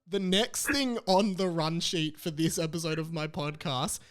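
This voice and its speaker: A man with an Australian accent hosts a casual comedy podcast. His voice sits a little high, and he talks in a loose, rambling way with a joking manner. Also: a little slow, fairly bright tone, clean tone. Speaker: podcast host.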